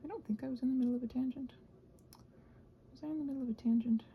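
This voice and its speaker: A woman chatting casually while crafting, soft and often dropping to a near-whisper, hesitant and thinking aloud, with playful little exclamations. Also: high-pitched, rapid-fire, phone-quality sound, slight background noise. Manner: hesitant, thinking aloud, trailing off mid-sentence. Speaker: host of a crafting ramble channel. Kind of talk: casual rambling craft chat, ASMR-like.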